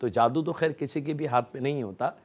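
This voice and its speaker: A man's voice: medium pitch, fairly quick, darkish tone, husky. A man with a Pakistani Urdu accent hosting a cooking show, politely addressing viewers as he explains each step of the recipe.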